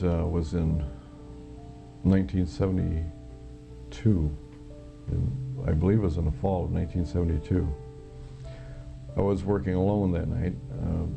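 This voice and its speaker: An American man with a low, gravelly voice tells his story in an interview. His delivery is halting and matter-of-fact, with very little rise or fall in tone. Soft guitar music plays behind him. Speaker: interviewee, former Air Force air traffic controller. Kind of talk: recounting personal experience in interview.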